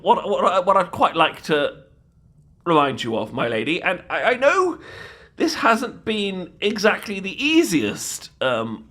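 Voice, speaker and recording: Adorkable British knight: high, very animated pitch, nervous stammer, apologetic, comedic fantasy roleplay. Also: male voice, full character voice. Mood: flustered, smitten. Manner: stammering, flustered, apologetic, repeats words. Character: bumbling, adorkable, lovestruck, nervously polite male knight.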